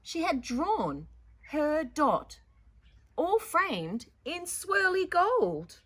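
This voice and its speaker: A woman's voice: high-pitched, big pitch swings, very slow, fairly bright tone. A woman presenting a children's storytime, reading a picture book theatrically with dramatic emphasis and chatty asides to young listeners.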